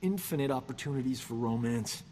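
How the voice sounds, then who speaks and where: A man's voice: mid-range voice, brisk, warm tone, long reverb tail, a little hiss or hum, close to an everyday voice. An actor playing a cocky, womanizing human doctor who boasts and wisecracks in a video game. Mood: indignant. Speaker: companion character. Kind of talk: video game dialogue acting.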